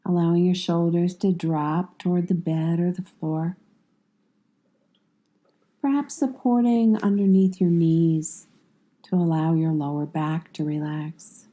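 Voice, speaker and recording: Very mellow, slow woman guiding meditation. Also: on the low side. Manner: calm, soothing, measured with long pauses. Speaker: yoga nidra instructor. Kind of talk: guided meditation, scripted slow instructions.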